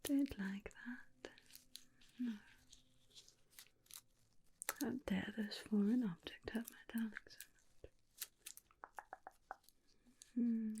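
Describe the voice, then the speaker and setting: A woman playing a gentle, doting female vampire in a breathy, soothing whispered ASMR personal attention roleplay. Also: a little high, a little hiss or hum. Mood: concerned, protective. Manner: soothing, slow, tender, reassuring.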